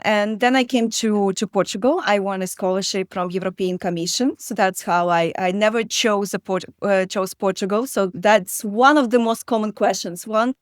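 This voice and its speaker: A woman talks as an interview guest, her voice smooth and clear at a middle pitch. She pauses often with fillers and restarts her sentences. The recording is dry and close. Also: dry recording. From Belarus. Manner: hesitant, many fillers and restarts. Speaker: guest investor. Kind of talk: conversational interview.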